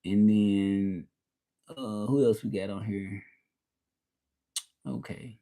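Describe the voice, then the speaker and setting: A man's voice: fairly low pitch, fairly even intonation, slow pace, mellow. Rambling Southern US man chatting live. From Southern United States. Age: 30s. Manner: rambling, repeats himself, reads out and answers chat. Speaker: livestream host. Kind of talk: casual livestream chat with viewers.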